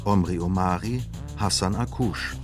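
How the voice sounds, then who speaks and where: A man's voice: deep voice, a little slow. A man announcing titles and cast credits for a radio drama in a scripted read. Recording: guitar music behind the voice. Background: guitar music.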